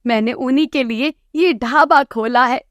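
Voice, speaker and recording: A woman's voice: high voice, moderate pace, no room sound, studio quality, close to an everyday voice. A woman voicing a humble, kind-hearted village eatery owner, earnest and polite, in a cartoon story. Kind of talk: Hindi cartoon story acting.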